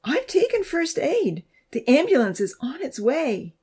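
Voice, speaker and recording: A woman's voice: huge pitch swings, measured pace, warm tone, exaggerated voice. A woman voicing a frightened teenage girl who pleads urgently through tears. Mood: desperate. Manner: high, urgent and sobbing.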